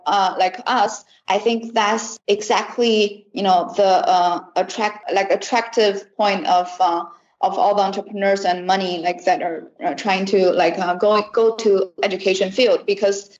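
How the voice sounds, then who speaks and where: A woman with a Chinese accent answers interview questions in a mid-pitched voice. She speaks haltingly, often searching for the next word. The recording is a bit dull and lo-fi. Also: steady tone, a little mellow. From China. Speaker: education accelerator representative, interview guest.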